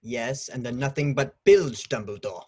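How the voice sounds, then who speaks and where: An actor playing a pompous, dismissive government minister at a trial, impatient and scoffing in a table read. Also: male voice, medium pitch, moderate pace, darkish tone, slightly rough, natural voice. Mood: scornful. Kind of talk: amateur online table read of a screenplay.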